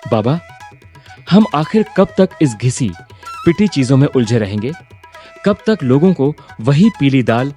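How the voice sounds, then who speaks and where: A man plays an ambitious young graduate in a cartoon story, impatient and insistent. His pitch swings widely in a mellow, mid-range voice, with music behind. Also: big pitch swings, a little slow. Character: ambitious, impatient young business graduate, son of eatery owner. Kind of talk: Hindi cartoon story voice acting.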